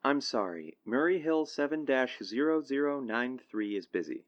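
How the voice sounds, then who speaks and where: An actor playing a brisk, by-the-book telephone operator in a 1940s-style radio drama, with formulaic sing-song politeness. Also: medium pitch, lively intonation, dull audio, close to an everyday voice. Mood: polite, impassive.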